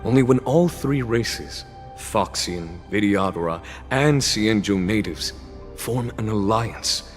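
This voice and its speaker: An actor playing a composed, wise military general who explains matters in a measured, reflective way, in a game cutscene. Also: male voice, on the low side, lively intonation. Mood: calm, explaining. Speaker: army general. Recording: reverb with background music.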